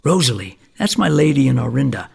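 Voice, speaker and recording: A shabby older neighbour in an audio comedy, with a deeply gravelly, husky voice. He rambles in a folksy, hesitant way, like someone confiding a long tale. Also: big pitch swings, brisk, darkish tone, exaggerated voice. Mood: chatty, confiding. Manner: folksy, hesitant, meandering storytelling. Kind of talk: comic stage monologue.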